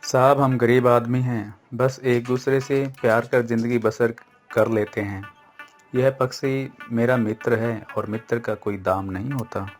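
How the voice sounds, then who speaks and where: A man's voice: mid-range voice, fairly even intonation, a little slow, soft background music, natural voice. Man playing a humble, honest poor young man. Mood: humble, firm.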